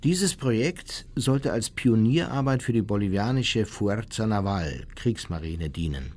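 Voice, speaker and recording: Formal, self-important memoirist. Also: male voice, fairly low pitch, lively intonation, a little slow, darkish tone. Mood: aggrieved, proud. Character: self-justifying German businessman reading his memoirs. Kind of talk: scripted first-person radio play narration.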